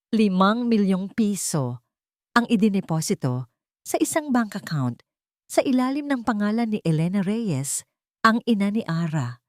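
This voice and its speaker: A woman's voice: mid-range voice, no echo, clean studio sound. A woman narrator reading a scripted romance story in a dramatic storytelling style.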